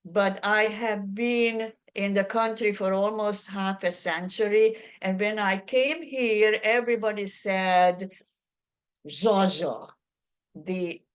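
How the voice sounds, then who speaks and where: Slow-talking Hungarian-accented older woman. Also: medium pitch, smooth. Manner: chatty, self-deprecating, unhurried. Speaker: workshop presenter. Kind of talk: answering audience questions.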